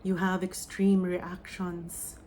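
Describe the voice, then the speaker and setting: Filipino woman medium, lowish voice, unhurried meditative delivery, soft pauses, channeling tone. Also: completely flat delivery, a little hiss or hum. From Philippines. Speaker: psychic medium. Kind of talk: oracle reading and channeled message.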